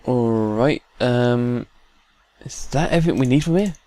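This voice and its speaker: A man's voice: mid-range voice, unhurried, dark tone. A man with a British accent giving casual commentary while playing a video game. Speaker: gaming video host. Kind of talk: casual let's play commentary.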